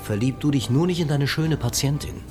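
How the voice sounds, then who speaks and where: A man's voice: mid-range voice, brisk, close to an everyday voice. An actor playing a brash male photographer in a radio play, talking in a colloquial, mocking way to a friend. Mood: teasing. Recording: music behind the voice.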